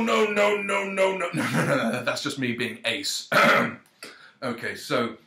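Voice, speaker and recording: A man speaking at an ordinary middle pitch, chatting to the camera with lots of ums and uhs and the odd self-mocking joke. The sound bounces around a roomy, echoey space. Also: fairly bright tone. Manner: self-deprecating, jokey asides. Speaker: author. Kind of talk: casual direct-to-camera thank-you and book reading. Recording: roomy echo.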